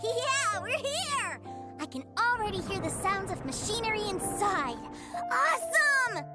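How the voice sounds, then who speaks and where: A woman voicing a tiny, nosy fairy companion in a video game, excitable and blunt. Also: very high-pitched, full character voice. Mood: excited. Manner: excitable, blunt, quick to comment. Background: game music.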